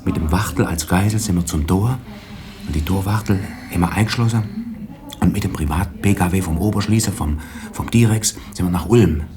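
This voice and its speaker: An actor playing a cajoling escaped convict and needy younger brother, with a southern German Swabian accent, in a colloquial 1980s radio drama style. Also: male voice, deep voice, fairly quick, a crowd in the background, lightly acted. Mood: defensive, pleading.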